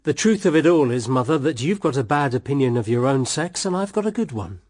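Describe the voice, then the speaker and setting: Stern, emphatic husband, swooping pitch. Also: male voice, very animated, a little fast, a little mellow. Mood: stern, judging. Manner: emphatic, moralizing. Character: stern, possessive, idealistic married man of the house. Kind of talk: period radio drama acting.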